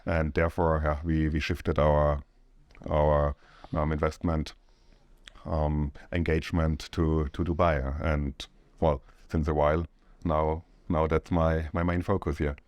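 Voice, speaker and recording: A middle-aged man from Austria, a company co-owner, chatting on a podcast in a measured, halting way. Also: very deep voice, level tone, darkish tone, dry recording, highs cut off. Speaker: co-owner of a real estate firm. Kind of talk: casual podcast chat.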